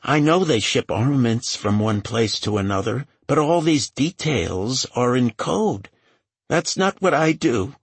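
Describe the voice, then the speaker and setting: A man acts out a scared woman assistant in a thriller audiobook. She pleads and hesitates defensively, her voice pushed high and husky. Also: expressive, unhurried, no room sound. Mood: nervous, defensive. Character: frightened, defensive woman, a boss's personal assistant.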